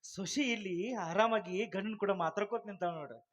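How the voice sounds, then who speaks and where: A man's voice: sits high, fast talker, a bit bright. An actor playing a village father who talks in an insistent, scolding way. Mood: grumbling.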